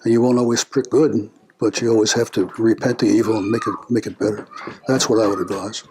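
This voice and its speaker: An older man being interviewed about his family, speaking in a reflective, unhurried way with frequent pauses and filler words. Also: lowish voice, flat delivery, darkish tone, husky. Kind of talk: family oral history interview.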